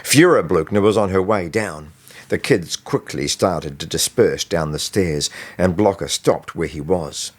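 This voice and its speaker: A man reading his own novel aloud as expressive, scripted audiobook narration. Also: sits low, measured pace.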